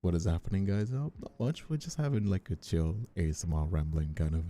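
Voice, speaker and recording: A man's voice: low-pitched, very animated, a little fast, warm tone. A man hosting a casual, rambling livestream chat, speaking in an earnest, informal way and often repeating himself as he finds his words. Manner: hesitant, repetitive, informal, earnest. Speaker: ASMR creator and streamer hosting a chat. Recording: faint music behind the voice. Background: faint music.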